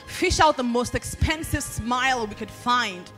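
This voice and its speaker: A young Nigerian woman with a Nigerian accent performing spoken word poetry at a podium, with measured, expressive pacing and deliberate pauses. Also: on the high side, faint music behind. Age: young adult. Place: large banquet hall at a podium. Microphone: handheld microphone.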